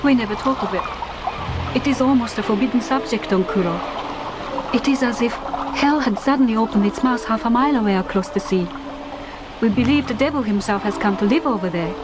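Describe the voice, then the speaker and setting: Woman playing a proud young island diver, higher-pitched. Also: a little fast, background noise, natural voice. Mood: solemn. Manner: direct, formal phrasing. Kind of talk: radio drama acting.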